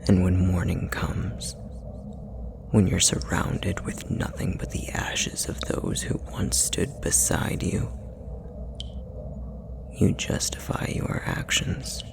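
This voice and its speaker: A man voicing a possessive, manipulative demon in an intimate ASMR roleplay, whispering with a gravelly fry in a deliberate, taunting way. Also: sits low, heavily acted. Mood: cold, accusing. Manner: slow, deliberate, taunting, condescending. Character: possessive, manipulative male puppetry demon, coldly menacing.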